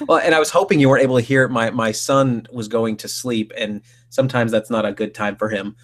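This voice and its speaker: A man in a relaxed podcast conversation, speaking at an ordinary pitch. He wanders through his thoughts, backs up and restarts sentences as he goes. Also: a little fast. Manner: rambling, with many restarts and fillers. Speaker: guest host. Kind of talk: casual podcast chat.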